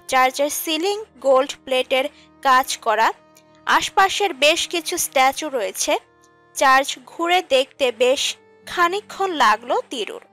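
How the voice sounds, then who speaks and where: A woman's voice: high voice, a bit bright. A woman reading scripted narration for a romantic audio story. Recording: music under the voice. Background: background music.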